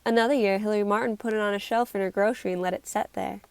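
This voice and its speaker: A woman's voice: mid-range voice, steady tone, racing, darkish tone, clear, resonant tone, no echo, natural voice. A woman in an amateur play acting a chatty, matter-of-fact small-town villager. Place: filmed on a video call.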